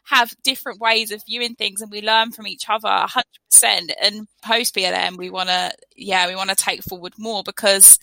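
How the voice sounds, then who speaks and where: A young woman co-hosting a podcast, talking in a lively, casual way with many fillers. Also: medium pitch, fairly quick, creaky, hardly any pauses, no room sound, low-quality recording. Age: young adult. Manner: animated, fillers of like. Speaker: podcast co-host. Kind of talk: casual conversation.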